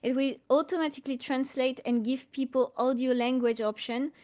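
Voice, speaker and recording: A woman from France with a French accent, answering questions casually on a live stream and haltingly thinking aloud as she goes. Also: high-pitched, steady tone, a little mellow. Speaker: colorist hosting a live Q&A.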